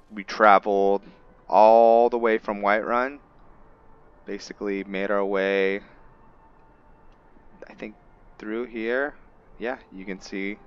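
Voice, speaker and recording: A man's voice: on the low side, smooth. A man hosting a roleplay game session, casually commentating in a musing, hesitant way. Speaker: gamer, roleplay host. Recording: dull narrow-band mic over game music. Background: faint game music.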